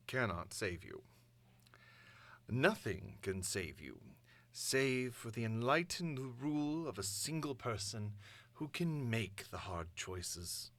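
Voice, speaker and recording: Man putting on a slow, cold British mastermind. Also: medium pitch, fairly bright tone, exaggerated voice. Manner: measured, grandiose, deliberate delivery. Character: cold, calculating, sophisticated male mastermind, calm and imperious. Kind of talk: dramatic villain monologue.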